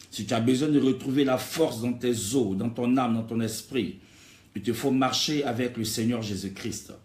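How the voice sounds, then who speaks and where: A man's voice: mid-range voice, darkish tone. A man preaching an exhortatory sermon in an emphatic way, building on repeated rhetorical phrases. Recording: echoey, reverberant room.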